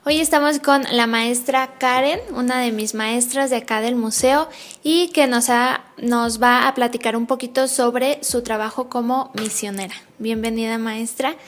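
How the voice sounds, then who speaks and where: A young woman with a Mexican accent hosts a casual interview. Her voice is a little high, and she frames her questions in a polite, friendly way. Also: fairly even intonation, a little slow, faint music behind. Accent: Mexican Spanish. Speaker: interviewer and channel host.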